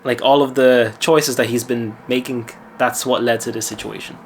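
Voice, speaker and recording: A man's voice: mid-range voice, steady tone, moderate pace, slight background noise. A man from Lithuania with an Irish accent, a mindset coach telling a gym story in casual talk and then giving self-assured advice. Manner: chatty, self-assured, lecturing.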